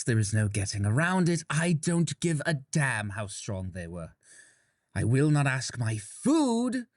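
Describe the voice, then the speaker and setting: A man's voice: medium pitch, no echo, clean studio sound. A man playing a contemptuous, self-serving vampire clan leader in an audio drama, dismissive and emphatic. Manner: dismissive, arrogant, emphatic delivery. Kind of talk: dramatic audio fiction acting.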